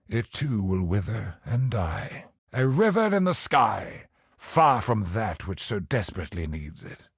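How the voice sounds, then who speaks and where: Husky, slow, doom-laden cosmic sorcerer. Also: male voice, lowish voice, no room sound, studio quality, heavily acted. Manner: solemn, portentous, dramatic slow delivery. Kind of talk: theatrical video game villain voice lines.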